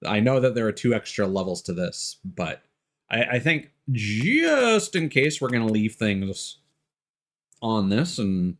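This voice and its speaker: A man's voice: on the low side, very animated, a little slow, fairly bright tone. A man doing casual live game commentary, rambling and swearing as he muses aloud. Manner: rambling, profane, musing aloud. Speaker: game streamer. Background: game music.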